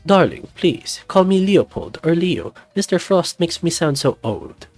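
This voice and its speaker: Casting note: flirty, theatrical snow leopard host, high and swooping, husky edge, as a man voices him. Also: very animated, a little slow, darkish tone, no room sound, faint music behind. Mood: flirtatious. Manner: theatrical, flirtatious, calls people darling. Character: flirtatious middle-aged male snow leopard resort owner. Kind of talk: visual novel voice acting.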